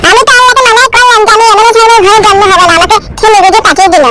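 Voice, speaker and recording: A woman's voice: extremely high pitch, racing, heavy background noise. A woman voicing a cheeky, defiant cartoon youngster who whines and complains brashly in a comedy cartoon. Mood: whiny, brash.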